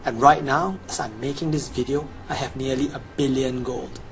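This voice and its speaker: A man's voice: medium pitch, fairly even intonation, normal speed. A young adult man giving a scripted promotional voice-over as a gamer presenting his guide. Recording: clearly noisy home recording.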